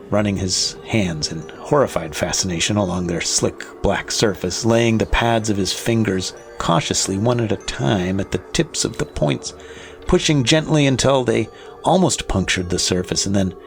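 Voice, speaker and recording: A man with a deep-leaning, fry-edged voice narrates scripted horror. He is deliberate and dramatic, with faint music behind him. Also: animated. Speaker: story narrator.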